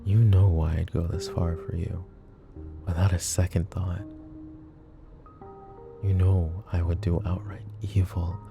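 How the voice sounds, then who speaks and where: Brief: doting, dangerous mafia boss, gravelly close-mic murmur, soft music faint behind. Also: male voice, low-pitched, a little slow, long pauses, muffled recording. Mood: menacing. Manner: calm, controlled, coolly threatening and doting. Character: possessive, menacing male mafia boss. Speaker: voice actor. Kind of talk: roleplay ASMR audio drama. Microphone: close ASMR microphone.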